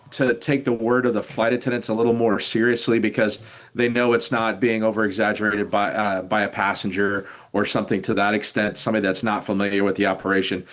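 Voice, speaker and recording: An older man, an expert guest in a news interview, explaining in a measured, halting way. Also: medium pitch, fairly bright tone. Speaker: retired air traffic controller, expert guest.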